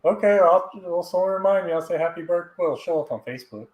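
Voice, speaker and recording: Co-host, male, 40s, higher-pitched, jokey needler, loose chatty delivery. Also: fast talker, darkish tone, rough recording. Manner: teasing, jokey. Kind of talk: casual chat.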